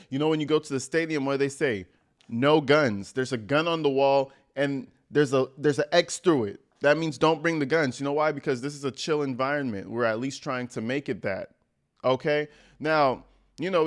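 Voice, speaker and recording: Blunt, sweary man giving casual commentary. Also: mid-range voice. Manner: blunt, profane, conversational. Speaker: host of a reaction livestream.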